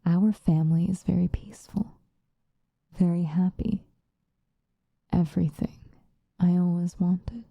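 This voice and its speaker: A woman playing a possessive, obsessive female vampire lover in an ASMR roleplay, sinister yet tender, intimate and breathy, often slipping into whispers. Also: on the low side, fairly even intonation, no echo, lo-fi sound. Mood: reflective, proud. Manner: intimate, breathy, drawn-out seductive delivery, often dropping into whispers.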